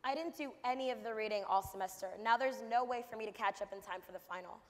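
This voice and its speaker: A woman's voice: on the high side, quick pace, lightly acted. A young woman playing a troubled college student admitting her worries in a staged monologue. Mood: uneasy. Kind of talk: staged readers theater monologue. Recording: echoey hall.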